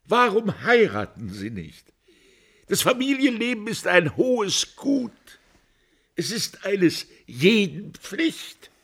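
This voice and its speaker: Older deferential steward in a radio play, earnest, higher voice that swings up and down. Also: male voice, fairly bright tone, slightly rough, exaggerated voice. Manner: solemn, halting, deferential. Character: earnest, humble, sentimental ageing estate steward. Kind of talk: German radio drama acting.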